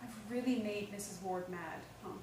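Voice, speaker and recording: A woman's voice: medium pitch, moderate pace, darkish tone, close to an everyday voice. A woman playing a hesitant, naive young employee in a staged play reading. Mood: uneasy. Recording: very echoey room, distant mic, faint noise.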